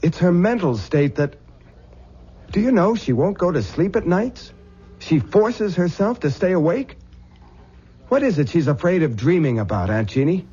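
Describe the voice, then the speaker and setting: Earnest, husky husband. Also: male voice, sits high, sing-song, normal speed, dark tone, heavily acted. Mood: anxious, pleading. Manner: earnest, pleading. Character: earnest, anxious, devoted American husband. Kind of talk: old-time radio drama acting. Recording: muffled vintage radio recording.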